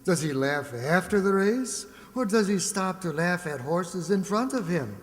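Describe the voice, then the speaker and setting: Wisecracking broke maestro, acted by a man, mock-dignified, high-pitched, vintage radio comedy, echoing hall. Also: expressive, unhurried. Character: wry, broke musician maestro, wisecracking gambler. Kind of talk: old-time radio comedy acting.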